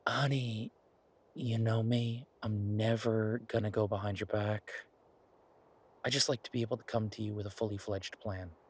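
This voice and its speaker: Casting: male actor as devoted chef boyfriend for intimate audio roleplay, lowish husky voice, playful and earnest. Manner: teasing, earnest, rambling self-justification. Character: affectionate eager chef boyfriend, playful, food-loving, werewolf romance.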